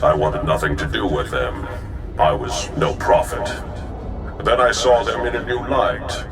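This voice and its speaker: Evil reborn cult leader in a game, low voice, grand n menacing, echoey w/ music behind. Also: male voice. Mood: cold conviction. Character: reborn male dark zealot leader, coldly megalomaniacal. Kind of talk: video game cutscene acting. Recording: distant, echoing voice over loud music.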